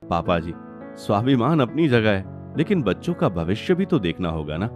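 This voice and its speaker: A man's voice: lowish voice, big pitch swings, normal speed, darkish tone, faint music behind. Man as pleading, earnest younger brother. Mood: troubled. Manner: earnest, insistent, respectful. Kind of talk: Hindi cartoon family melodrama.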